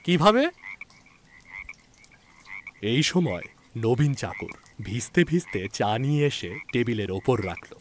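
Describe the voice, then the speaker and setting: A man's voice: mid-range voice, lively intonation, measured pace, background noise. A man reading scripted story narration as the narrator of an audio tale.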